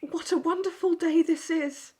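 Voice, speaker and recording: A woman's voice: very high voice, fairly even intonation, moderate pace, a little mellow. A woman playing a caring farmer's wife and mother in an amateur audio drama. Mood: gentle.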